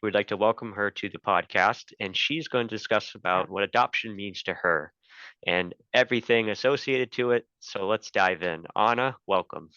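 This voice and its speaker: A man hosts a conversational interview podcast. His voice is fairly low, bright and forceful, with crisp articulation. The recording is dry and a little dull. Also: normal speed, no echo. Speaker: podcast host.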